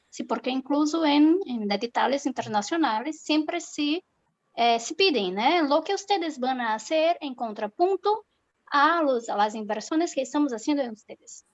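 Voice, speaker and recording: Brazilian woman speaking Spanish, dull audio. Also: high voice. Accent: Brazilian Portuguese. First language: Portuguese. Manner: hesitant, many fillers. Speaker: course participant.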